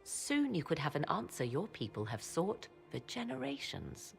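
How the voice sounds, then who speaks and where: A woman's voice: low-pitched, huge pitch swings, creaky, faint music behind, heavily acted. A woman playing a proud, learned witch in a video game, speaking in formal, archaic, mysterious phrases. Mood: measured, urging. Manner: archaic, measured, theatrical phrasing. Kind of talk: video game cutscene acting.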